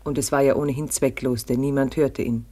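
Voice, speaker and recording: An actor narrating a survival story gravely in measured short sentences, in a 1950s German radio drama. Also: male voice, mid-range voice, steady tone, mellow, lightly acted.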